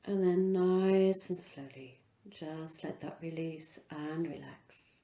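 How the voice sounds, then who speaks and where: A woman leading a guided relaxation as a yoga instructor, speaking in an unhurried, soothing way with pauses between phrases. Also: sits low, mellow, reverberant.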